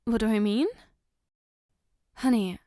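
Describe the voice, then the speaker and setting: Woman acting a slow, gentle, hesitant girlfriend, high voice. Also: no echo, studio quality. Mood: warm, reassuring. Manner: hesitant, gentle, reassuring, halting. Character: caring, flustered young girlfriend comforting her partner. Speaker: ASMR roleplay voice performer. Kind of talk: ASMR roleplay, spoken to the listener.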